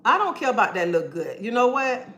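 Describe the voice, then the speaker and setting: A woman's voice: fairly high pitch, sing-song, fast, fairly bright tone, roomy sound. A woman giving a sassy, preachy pep talk on a casual livestream, calling listeners honey and baby.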